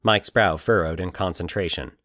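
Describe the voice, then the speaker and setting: A man narrating a scripted, eerie fiction story as an expressive storyteller. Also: sits low, moderate pace, no echo, clean studio sound.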